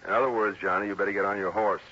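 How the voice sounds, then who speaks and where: Brisk agreeable american fella, husky voice, old muffled radio show. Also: male voice, on the low side, fairly even intonation, sharp tone. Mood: matter-of-fact. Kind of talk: mid-century American radio drama acting. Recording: dull old radio recording.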